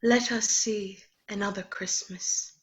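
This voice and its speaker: A woman voicing a calm, solemn female spirit in a radio play, with clipped replies. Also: medium pitch, slow, heavy background noise, close to an everyday voice. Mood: gentle.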